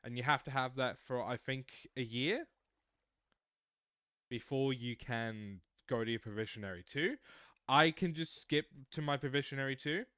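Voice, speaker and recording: A man's voice: mid-range voice, moderate pace, a bit bright, smooth, dry recording, studio quality. An Australian man in his mid-twenties, chatting casually and rambling through explanations while streaming a game. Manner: rambling, explains at length with restarts. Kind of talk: casual livestream chat while gaming.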